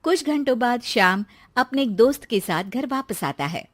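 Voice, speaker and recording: A woman narrating a cartoon moral story in a lively storytelling manner. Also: a little high, normal speed, dry recording, studio quality.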